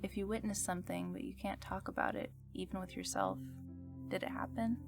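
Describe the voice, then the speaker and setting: A woman playing the musing host of an eerie fiction radio show, speaking in a measured, contemplative way. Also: mid-range voice, very animated, gravelly, over music. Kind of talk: scripted reflective fiction narration.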